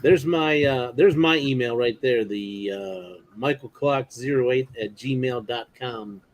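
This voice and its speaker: A man's voice: medium pitch, a little slow. A man hosting a livestream in casual, conversational chat. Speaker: livestream host.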